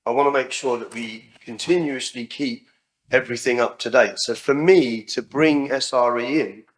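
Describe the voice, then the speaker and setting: Loud-voiced man asking a question. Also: mid-range voice, normal speed, poor audio. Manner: chatty, uses many fillers like "like" and "you know". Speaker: audience member asking a question. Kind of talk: spontaneous question.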